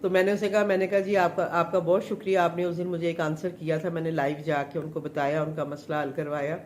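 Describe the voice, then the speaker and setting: A woman's voice: low voice, level tone, quick pace, darkish tone. A middle-aged woman talks on a live stream from her home kitchen. Her throat is a little sore. She rambles with plenty of fillers, and there is a faint hum of room noise behind her. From Pakistan. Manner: chatty, rambling, many fillers. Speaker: home chef. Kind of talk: casual live chat.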